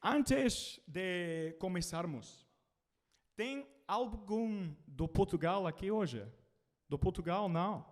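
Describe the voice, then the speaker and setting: British male preacher, learner Portuguese, high animated voice, unhurried delivery. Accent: British English. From Britain. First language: English. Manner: animated, calls out to the congregation.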